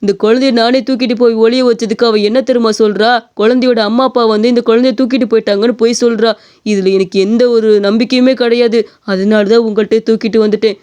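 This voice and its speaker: Man playing a high, smooth-voiced moralising village headman. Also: steady tone, fast, a little mellow. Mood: apologetic. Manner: lecturing, emphatic. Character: authoritative male village headman, righteous and moralising. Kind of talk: cartoon story dialogue.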